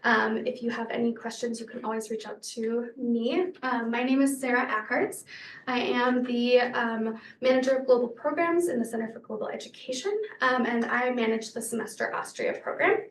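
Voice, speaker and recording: A woman's voice: a little high, fairly even intonation, moderate pace, a little hiss or hum. A woman program manager hosting and welcoming people to an info session, friendly and with many fillers. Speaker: study abroad program manager. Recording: echoey room, distant mic.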